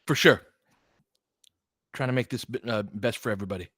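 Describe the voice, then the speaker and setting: A man's voice: lowish voice, a little fast, fairly bright tone, breathy edge, dry recording, clean studio sound. A man doing casual live basketball commentary as a livestream host, talking informally and directly to his viewers.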